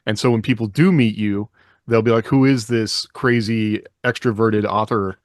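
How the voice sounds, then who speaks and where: A man hosts a casual panel podcast in a lowish voice. The sound is dry, with little room in it, and his delivery is conversational. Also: fairly even intonation, normal speed, no echo. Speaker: podcast host.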